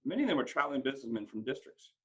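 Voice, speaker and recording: A man reading a first-person story aloud in an expressive, dramatic way, occasionally stumbling over a word. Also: medium pitch, racing, slightly rough. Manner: dramatic, acts out dialogue, stumbles over words now and then. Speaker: school principal reading a book aloud.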